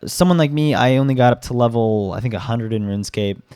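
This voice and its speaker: A man's voice: mid-range voice, fast talker, darkish tone, clean tone, dry recording, clean studio sound. A young man who has been sick and sounds stuffed up, giving casual gaming commentary with rambling fillers and restarts. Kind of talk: casual commentary with article reading.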